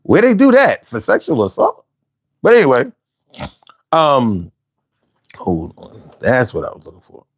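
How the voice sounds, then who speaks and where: A man's voice: mid-range voice, huge pitch swings, a little slow, pauses often, breathy edge. A man in his forties from Philadelphia with a Philly accent, hosting a casual, argumentative monologue full of slang and restarts. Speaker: host.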